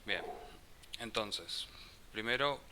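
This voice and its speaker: A man teaching a programming class, explaining code slowly and thinking aloud as he types. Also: fairly low pitch, bright, forceful tone. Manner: slow, halting, thinks aloud with restarts. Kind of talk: live coding class explanation. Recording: faint hiss.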